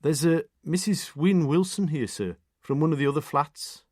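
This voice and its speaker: Guy doing a polite young constable, plain talker, bit higher. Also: normal speed, darkish tone, no room sound, studio quality, lightly acted. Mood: deferential. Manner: respectful, plain-spoken. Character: young police constable, deferential.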